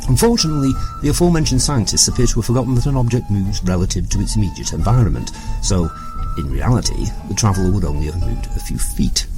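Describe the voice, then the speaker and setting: A man's voice: fairly low pitch, wildly swooping pitch, quick pace, over music, rough recording. A man playing a mock-learned narrator, delivering pedantic, tongue-in-cheek scripted comic explanations. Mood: wry lecturing.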